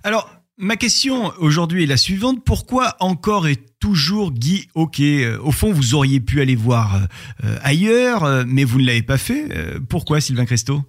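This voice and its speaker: A man hosting a podcast, asking formal, measured interview questions with brief hesitations. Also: a little high, big pitch swings, no room sound, clean studio sound.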